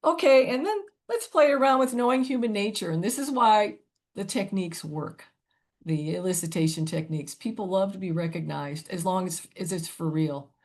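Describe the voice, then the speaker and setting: Older woman with a youthful, chatty voice. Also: moderate pace. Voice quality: youthful-sounding voice. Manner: chatty, lively pitch swings, frequent "you know" and "right?". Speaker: webinar presenter. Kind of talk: conversational webinar talk.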